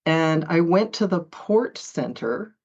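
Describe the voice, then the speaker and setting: A woman's voice: lowish voice, lively intonation, takes it slowly, darkish tone, lo-fi sound. A woman author being interviewed, talking in a chatty way with frequent laughs and hesitant fillers. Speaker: author guest. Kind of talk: conversational interview.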